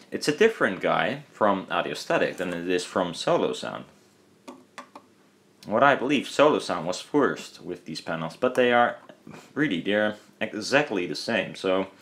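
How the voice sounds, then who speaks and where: A man with a low-pitched voice talks through a hands-on demonstration. He is casual and unscripted, wandering from point to point and hesitating as he works out his thoughts aloud. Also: fairly bright tone. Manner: rambling, hesitant, thinking aloud. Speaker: hobbyist speaker builder and video maker.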